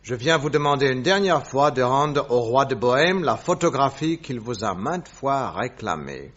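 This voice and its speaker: An actor playing a suave, self-assured detective posing as a royal secretary in a French radio drama, husky, formal and courteous. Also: male voice, mid-range voice, animated, moderate pace, fairly bright tone. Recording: dull lo-fi radio recording.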